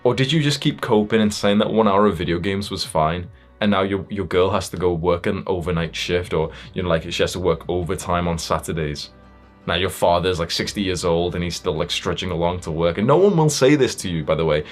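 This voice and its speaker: A man's voice: deep voice, brisk, faint music behind. A young man giving a blunt, stern motivational talk as a self-improvement coach, emphatic and swearing freely.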